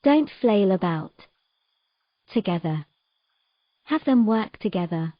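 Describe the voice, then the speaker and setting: Woman acting a slow-speaking, patient mermaid. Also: mid-range voice, animated, muffled recording. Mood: firm, patient. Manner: soft instructing, encouraging, measured. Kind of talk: romantic fantasy audio drama acting.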